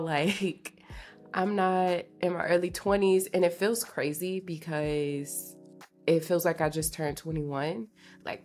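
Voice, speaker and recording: A low-voiced woman in her late twenties talks like she is catching up with friends on her podcast. Her voice sounds congested, and her delivery is informal, slangy and confiding. Soft music sits faintly behind her. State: congested from allergies. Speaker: podcast host. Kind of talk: casual chatty solo podcast. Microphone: podcast microphone at a desk.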